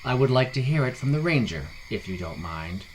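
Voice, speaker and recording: A man's voice: mid-range voice, big pitch swings, a little fast, a little hiss or hum, natural voice, rough recording. A man voicing an authoritative, inquiring town lord in a fantasy storybook reading, commanding yet courteous. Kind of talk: storybook character voice in fantasy narration.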